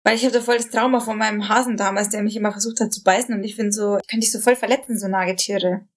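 A woman's voice: mid-range voice, fairly even intonation, fast talker. A young woman chatting casually as a podcast co-host, giggly and fond of telling anecdotes. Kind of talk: casual chat.

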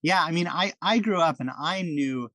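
A man's voice: a little high, moderate pace, sharp tone, no room sound. A man hosting a podcast, chatting in a friendly, enthusiastic way with frequent restarts and fillers. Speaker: podcast host. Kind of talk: casual podcast chat.

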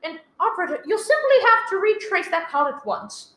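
A woman's voice: very high-pitched, sing-song, fairly quick, a bit bright, heavily acted. A woman playing a nervous, demanding invalid wife in a melodramatic radio play. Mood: horrified, frantic. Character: nervous, imperious invalid wife, shrill and frantic. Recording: echoey live room recording.

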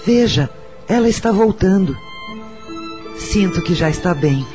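An actor with a Brazilian accent playing a solemn, gentle spirit mentor in a radio drama, speaking in a measured, formal way. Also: male voice, high voice, animated, over music. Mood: gentle, reassuring.

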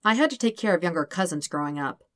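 Woman acting as casual man, wry, blunt, long-suffering, low-leaning pitch, audiobook dialogue. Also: lively intonation, quick pace, no room sound, close to an everyday voice. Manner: plain, wry, offhand. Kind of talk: acted dialogue in fan fiction audiobook.

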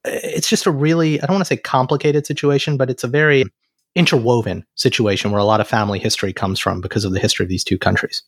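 A man co-hosting a podcast, introducing a guest and asking questions with earnest, animated emphasis. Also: medium pitch, fast talker, husky, no echo, studio quality. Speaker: podcast co-host.

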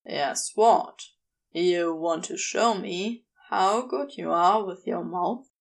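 A woman voicing a seductive male demon in an audiobook, low and hard, deliberate and playfully commanding. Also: creaky, distant mic, heavily acted, low-quality recording.

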